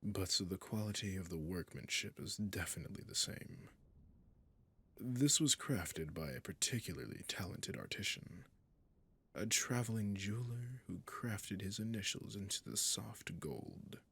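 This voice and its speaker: A very low-voiced, slow-speaking dragon king, acted by a man, courtly yet menacing. His husky growl slips into breathy whispers, and every formal phrase lands as a possessive command in an intimate fantasy roleplay. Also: lively intonation, fairly bright tone, exaggerated voice. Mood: cold, menacing. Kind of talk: scripted fantasy audio roleplay addressed to the listener.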